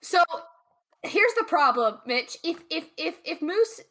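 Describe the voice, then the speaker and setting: A woman's voice: high voice, sing-song, slow pace, fairly bright tone. A woman playing a tabletop role-playing game in casual, unscripted banter.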